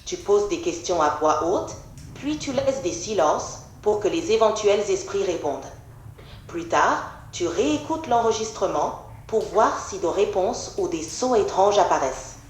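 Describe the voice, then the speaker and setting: A computer-generated woman's voice answering as an AI assistant, measured and polite. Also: mid-range voice, lively intonation, roomy sound, a little hiss or hum, poor audio. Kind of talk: calm scripted assistant replies.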